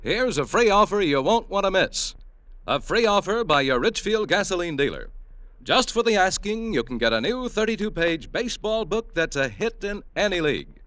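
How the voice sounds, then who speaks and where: A man reading a 1950s radio commercial in an upbeat sales pitch. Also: very animated, moderate pace, a bit bright. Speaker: commercial announcer.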